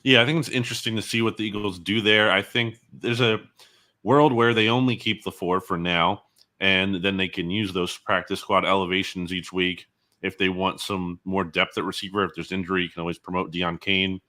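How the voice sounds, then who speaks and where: A man's voice: deep voice, fairly even intonation, moderate pace, sharp tone. A man giving analysis as a guest on a sports interview show.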